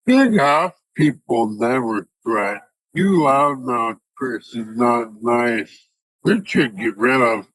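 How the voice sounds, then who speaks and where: An actor playing a gentle, simple-spoken Bigfoot in an audio play, talking in broken, childlike grammar. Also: male voice, mid-range voice, expressive, slow pace, breathy edge, no echo, heavily acted, rough recording. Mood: plain, earnest. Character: gentle simple-spoken Bigfoot, a shy giant wild creature.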